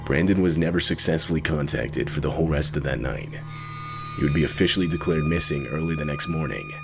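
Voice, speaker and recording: A man with an extremely deep voice reads a scripted true-crime narration. He speaks as the narrator of a documentary-style video. Music plays clearly behind him. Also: fairly quick.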